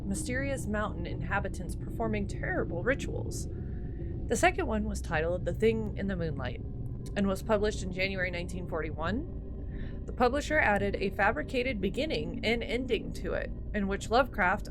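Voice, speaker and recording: A woman hosting a game playthrough, commenting casually and reading the on-screen text aloud. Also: medium pitch. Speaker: gaming video host. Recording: loud game ambience noise.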